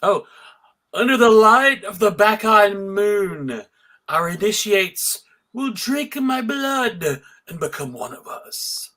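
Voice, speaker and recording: A man's voice: high-pitched, expressive, takes it slowly, pushed and bright, exaggerated voice. A man voicing a grandiose, villainous satyr-like wine god, declaiming theatrically in a live script read. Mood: gloating menace.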